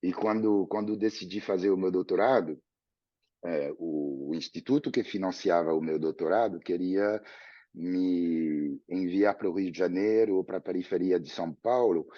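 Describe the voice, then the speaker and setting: A man's voice: sits low, no room sound, highs cut off. A French man in his fifties, a guest telling his story in a reflective, conversational way with frequent restarts. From France. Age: 50s. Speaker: interview guest, professor. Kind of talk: relaxed conversational interview.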